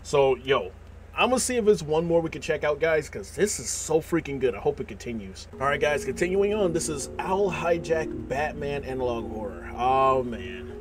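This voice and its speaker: A young American man doing casual reaction commentary in a slangy, animated manner. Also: fairly high pitch, moderate pace, noisy background. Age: young adult. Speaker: reaction video host. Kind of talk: casual reaction commentary, reading captions aloud. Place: small indoor room. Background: music.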